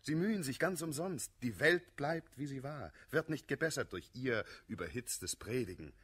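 An actor playing a moderate, worldly friend in a classical German radio play, reasoning in measured, ironic rhymed verse. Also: male voice, mid-range voice, expressive, a bit bright, breathy edge. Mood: mildly mocking.

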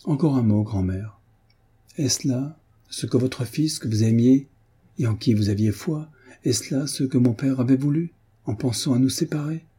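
A man's voice: medium pitch, normal speed, warm tone, husky. A man voicing a devoted, hurt young granddaughter in an audiobook, earnest and questioning. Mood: pleading. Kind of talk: audiobook character voice.

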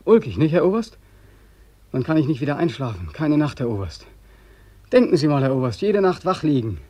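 Haunted young war veteran, husky and mellow, feverishly insistent, radio drama. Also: male voice, on the high side, sing-song, a little fast, dull audio. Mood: weary, insistent. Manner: intense, urgent, insistent repetition. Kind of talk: German radio play acting.

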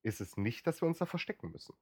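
A man from the Ruhr area, a podcaster guest chatting casually, rambling and self-mocking, a bit hoarse, often clearing his throat. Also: medium pitch, big pitch swings, quick pace, no echo, studio quality. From Ruhr area, Germany. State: slightly hoarse. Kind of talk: casual chat.

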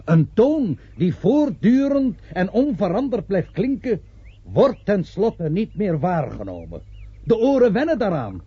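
High-pitched street preacher, fervent, accusatory, declaiming, very hoarse-edged, radio play, dull old recording. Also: male voice, sing-song, unhurried, no echo, exaggerated voice. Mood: stern, insistent. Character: fervent male street preacher warning sinners of God's wrath.

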